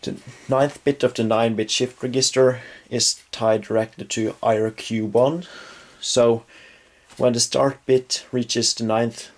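Slow, halting young Norwegian man. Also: medium pitch. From Norway. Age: young adult. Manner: halting, frequent fillers and self-corrections. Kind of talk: hobbyist electronics demo. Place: small home room with a desk and computer.

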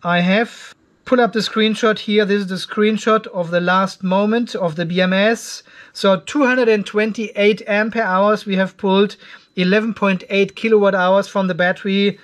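High-pitched middle-aged man thinking aloud. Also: fairly even intonation, normal speed, a bit bright. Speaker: host of an off-grid solar video channel. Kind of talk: casual product test commentary. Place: cluttered garage workshop.

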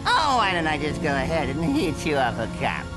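An actor voicing a manic, sadistic mad scientist and dentist, taunting and theatrical, in a cartoonish video game. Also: male voice, on the high side, wildly swooping pitch, brisk, low-quality recording. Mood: gleeful taunting. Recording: loud game music behind.

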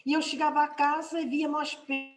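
A woman's voice: high voice, little pitch movement. Older woman with a Portuguese accent, reflective. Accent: European Portuguese. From São Tomé and Príncipe, raised in Portugal. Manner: reflective, measured, with drawn-out "ah" fillers. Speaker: guest writer. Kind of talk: panel talk, personal account. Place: home room. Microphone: video call.

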